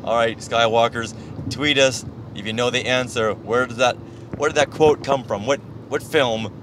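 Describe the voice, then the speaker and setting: A man's voice: mid-range voice, fairly even intonation, normal speed. A man co-hosting a podcast with casual, joking banter, recorded inside a moving car. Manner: playful, joking. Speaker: podcast co-host. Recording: faint car noise behind voice. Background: faint vehicle and road noise.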